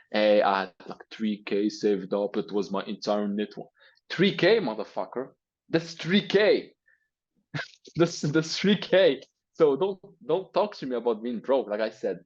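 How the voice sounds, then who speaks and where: Blunt Arabic-accented man, husky voice. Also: mid-range voice, a little mellow, highs cut off. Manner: blunt, profane, emphatic. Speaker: guest. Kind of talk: interview, motivational rant.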